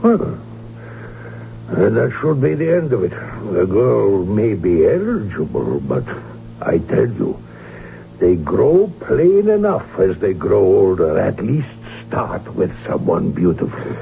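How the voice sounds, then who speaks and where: An actor playing an imperious, stubborn old emperor in a radio drama, halting and haughty in his delivery. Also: on the low side, huge pitch swings, full character voice. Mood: condescending, genial. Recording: muffled phone-like radio drama, clear hiss.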